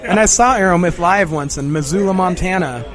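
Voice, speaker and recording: Male comic, raspy, slightly high-pitched, rambling jokey interview chat. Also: fairly quick. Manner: joking, rambling, clears throat often. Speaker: stand-up comedian, feature act. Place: pizzeria comedy venue.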